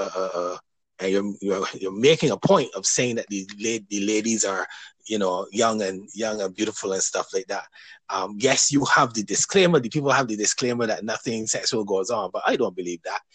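A man hosting a casual interview, talking hesitantly with many restarts and pauses. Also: lowish voice, fairly bright tone, dry recording, rough recording. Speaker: podcast host.

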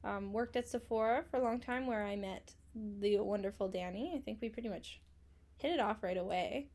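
A woman's voice: medium pitch, moderate pace, a little mellow. A woman in her twenties co-hosting a casual podcast, chatty, swearing and laughing often. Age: 20s. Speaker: podcast co-host. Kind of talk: casual chat.

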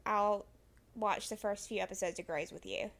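A young woman co-hosting a podcast in casual chat, relaxed and chatty with casual swearing. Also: medium pitch, normal speed, gravelly. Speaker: podcast co-host.

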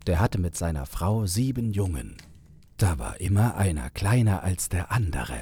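A man with a husky voice narrating a fairy tale in a measured, unhurried way. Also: sits low, very animated, dark tone. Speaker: storyteller, narrator.

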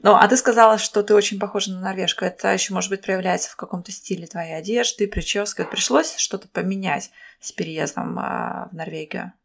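A woman's voice: mid-range voice, steady tone, brisk, gravelly, few pauses. A woman hosting a podcast interview, asking long questions and giving frequent backchannel nods. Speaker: podcast host. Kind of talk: casual interview chat.

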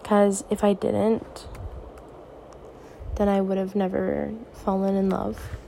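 A teenage girl hosting her own podcast, talking softly and reassuringly in a raspy, tired voice late at night. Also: mid-range voice, monotone, takes it slowly, slight background noise. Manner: soft, reassuring, hesitant. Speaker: podcast host. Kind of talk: personal podcast monologue.

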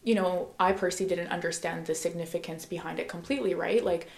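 A young woman hosting a podcast, her voice slightly low and gravelly. She talks loosely, packing her sentences with "like" and "you know." Her voice carries room echo. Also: fast. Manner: filler-heavy, "like, you know" throughout. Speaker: podcast host. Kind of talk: casual podcast chat.

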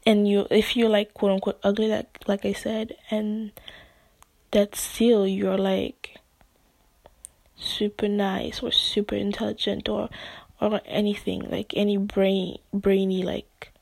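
A woman with English as her second language talks slowly and unhurriedly, her soft voice slightly husky and often dropping toward a whisper. She rambles, circling back with fillers and restarts. The sound is dry, with no room echo. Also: mid-range voice, little pitch movement. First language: not English. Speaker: podcast host. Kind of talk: casual solo podcast monologue.

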